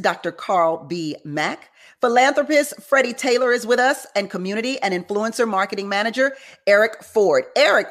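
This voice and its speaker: A woman from Houston, Texas, speaking as the host of a panel discussion show. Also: on the high side.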